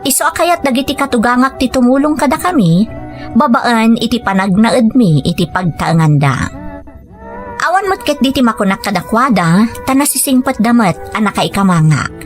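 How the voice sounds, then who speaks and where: A woman's voice: fairly high pitch, lively intonation, normal speed, faint music behind, lightly acted. A woman playing a patient, caring young wife and mother with a Filipino Ilocano accent, in radio drama dialogue and narration. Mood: reflective.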